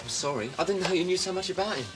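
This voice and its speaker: A man's voice: high-pitched, animated, loud music behind the voice. An actor playing a young male radio DJ in a TV drama who answers back in a cocky, touchy way. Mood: defiant. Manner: quick, cocky back-talk. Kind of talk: 1980 TV drama acting.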